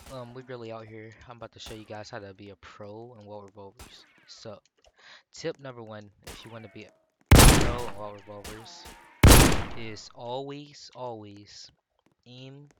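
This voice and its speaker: A man's voice: on the low side, very noisy. A young man with a sore throat and stuffy nose, rambling through casual gameplay tips with lots of fillers and slang. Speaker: gaming YouTuber. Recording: loud game gunfire behind the voice. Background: gunshots and clicks from the game.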